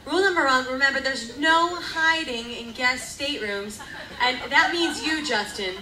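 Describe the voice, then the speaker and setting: A woman's voice: sits high, normal speed, sharp tone. A woman playing a bossy, commanding crew member laying down rules in an amateur live radio play. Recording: echoey live stage with crowd noise.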